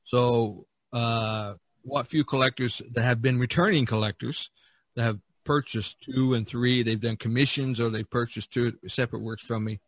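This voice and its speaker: A man's voice: mid-range voice, fairly even intonation, moderate pace, no room sound. A man chatting casually on a podcast, explaining things in a rambling way with many "you knows". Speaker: artist on a podcast.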